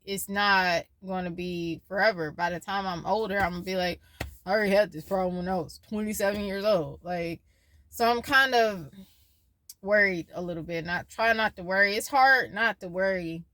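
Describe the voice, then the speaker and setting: A woman's voice: mid-range voice, normal speed, pushed and bright, no echo. A woman in her twenties talking casually in a personal vlog, rambling and losing her train of thought as she shares her worries and her faith. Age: 20s. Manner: worried, faith-filled musing. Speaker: vlogger.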